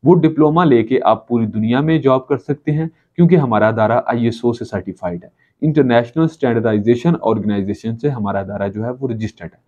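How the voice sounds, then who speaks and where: A man's voice: mid-range voice, a little fast, dark tone. A man in his thirties with a Pakistani accent gives a promotional talk to camera, often repeating and rephrasing his points. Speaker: college channel presenter. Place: small office, indoors. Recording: dull lo-fi camera audio.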